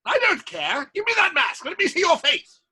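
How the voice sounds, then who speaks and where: Shrill, blustering theatre playwright. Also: male voice, wildly swooping pitch, normal speed, exaggerated voice. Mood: exasperated. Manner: declamatory, forceful, theatrical. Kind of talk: stage-style audio drama acting. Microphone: video call recording.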